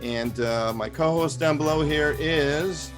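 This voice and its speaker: A man's voice: a little high, unhurried. Joking male talk host, faint music. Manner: joking, full of fillers. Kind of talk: casual interview chat.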